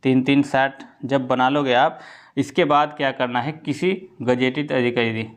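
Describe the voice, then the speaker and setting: A man's voice: mid-range voice, steady tone, measured pace. Man, instructive explainer. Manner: instructive, repeats key points, checks in often. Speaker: exam coaching presenter. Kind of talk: informal explainer addressed to students.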